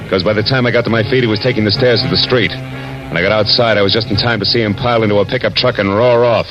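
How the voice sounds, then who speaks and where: An actor playing a wry, world-weary American private detective in 1940s radio noir style, clipped and wisecracking. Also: male voice, fairly low pitch, lightly acted. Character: hard-boiled, wry male private detective. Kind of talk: 1940s radio noir acting, narration and dialogue. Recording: muffled vintage radio recording with music.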